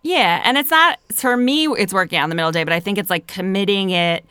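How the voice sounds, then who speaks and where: Smooth-voiced Philadelphia woman in casual chat. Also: medium pitch, fast, sharp tone, no room sound, clean studio sound. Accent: Philadelphia American. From Philadelphia, United States. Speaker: podcast guest.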